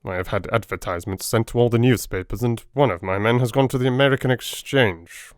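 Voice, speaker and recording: A man's voice: medium pitch, fairly quick, clean tone, no room sound, clean studio sound, lightly acted. A man voicing a stiff, proud police detective in a dramatised audiobook, speaking in a curt, defensive and disparaging way. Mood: defensive, offended. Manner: curt, offended, depreciatory. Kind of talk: dramatic audiobook character acting.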